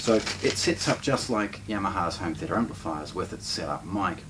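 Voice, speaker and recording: Low-voiced man presenting, noisy distant mic. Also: lively intonation, normal speed, a bit bright. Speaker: presenter of a shop's unboxing channel. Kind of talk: unscripted product unboxing presentation.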